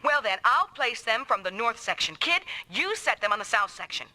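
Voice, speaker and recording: Brash, high-voiced woman mech pilot. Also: sing-song, moderate pace, bright, forceful tone, dry recording, heavily acted. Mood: brisk, commanding. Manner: brash, taunting, commanding. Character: sharp-tongued, proud woman mech pilot, bossy and combative. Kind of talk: anime-style video game voice acting.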